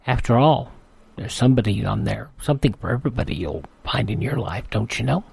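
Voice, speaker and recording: A man's voice: mid-range voice, expressive, brisk, gravelly, a little mellow, breathy edge, no echo. A man hosting a radio show, reading sponsor spots and announcements in a chatty, folksy way. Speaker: radio host.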